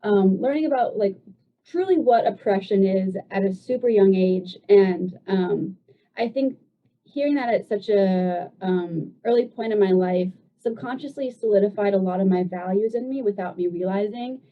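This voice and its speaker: A woman's voice: medium pitch, moderate pace. Chatty young woman telling her story. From United States. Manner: loose and chatty, with frequent "um" and "like". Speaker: guest. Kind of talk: casual personal storytelling. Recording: dull lo-fi sound.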